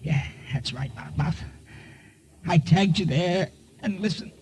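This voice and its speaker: An actor playing a bitter dying crook in a 1950s radio crime drama, sneering slangy tough talk through strain. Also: male voice, high-pitched, a little slow, a little mellow. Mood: bitter, pained. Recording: noisy vintage radio recording.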